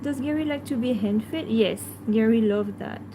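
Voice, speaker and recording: A woman with a French accent, a native French speaker, hosting a casual livestream and answering viewers in a hesitant way full of fillers, sometimes talking softly to her pet. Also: fairly high pitch, moderate pace, background noise.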